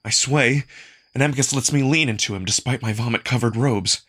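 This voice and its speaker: A man performing dramatic first-person story narration with expressive, acted-out delivery. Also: medium pitch, a little fast, breathy edge. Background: music.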